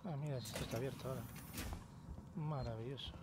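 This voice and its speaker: A man with a Spain Spanish accent giving casual commentary as he plays a game, thinking out loud and sometimes dropping to a hush. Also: medium pitch, fairly even intonation, fast talker, heavy background noise. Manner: chatty, thinks aloud, sometimes drops to a hush. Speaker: let's play host. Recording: loud game sound under voice. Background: horror game ambience.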